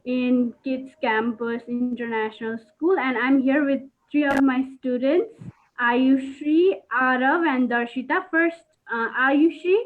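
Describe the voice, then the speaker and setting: High woman from Nepal, tinny audio. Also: fairly even intonation, unhurried. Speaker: volunteer teacher. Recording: tinny phone-like call audio.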